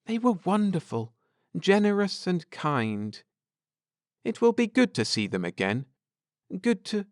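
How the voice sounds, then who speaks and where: A kindly space pilot husband in an audiobook, read by a man, earnest and nostalgic. The voice rises above plain narration with lively swings and a clean, smooth tone. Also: high voice, unhurried, no echo, clean studio sound, voice pushed up. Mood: fond. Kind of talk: audiobook character dialogue.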